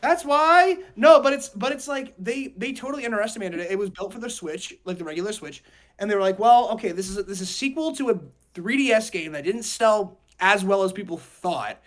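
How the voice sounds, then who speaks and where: A man's voice: sits high, expressive, brisk, fairly bright tone. A young man talking casually and emphatically on a podcast, rambling through his opinions in slangy speech. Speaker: podcast host.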